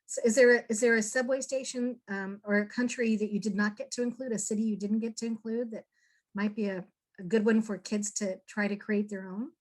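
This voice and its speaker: A woman's voice: medium pitch, fairly even intonation, brisk. A middle-aged woman hosting a casual interview, enthusiastic and encouraging. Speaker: interview host.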